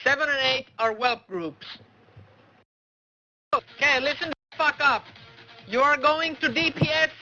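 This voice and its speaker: A man with an extremely high, piercingly bright voice barks orders as a game raid leader. He is angry, profane and repetitive, heard through dull lo-fi voice chat. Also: unhurried, long pauses, faint music behind. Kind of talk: barking orders during a game.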